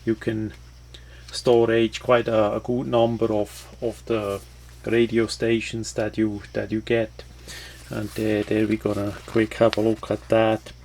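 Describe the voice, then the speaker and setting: Slow, flat-toned man reviewing a product. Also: lowish voice, slight background noise. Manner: halting, hesitant phrasing. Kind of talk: casual unscripted product walkthrough.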